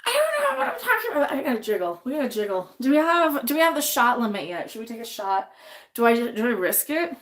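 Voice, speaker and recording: A woman's voice: fairly high pitch, sing-song, quick pace, fairly bright tone, a little hiss or hum. A young adult woman hosting a casual livestream while she cooks, talking bluntly, swearing freely and rambling, a little sniffly. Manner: reads chat names aloud and answers them. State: a bit sniffly. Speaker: livestream host.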